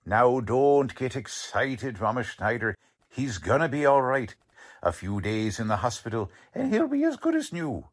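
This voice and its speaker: A man acting as an excitable, good-hearted neighborhood policeman in a 1940s radio drama, slangy and exclamatory. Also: medium pitch, unhurried. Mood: reassuring.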